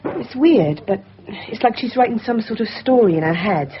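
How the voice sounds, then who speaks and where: A woman's voice: fairly high pitch, expressive, normal speed, a little hiss or hum, lightly acted. Woman playing a plain-spoken hospital care worker. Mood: puzzled, reflective. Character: puzzled, down-to-earth female hospital care worker. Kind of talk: naturalistic radio drama acting. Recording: dull lo-fi radio recording.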